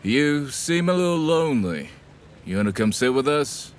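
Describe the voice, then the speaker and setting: A man plays a friendly young outlaw vampire in an audio drama. He talks in a slangy, easygoing, inviting way at a medium pitch. Faint outdoor ambience sits behind him. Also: sing-song, moderate pace, slight background noise, close to an everyday voice. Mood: friendly, sly.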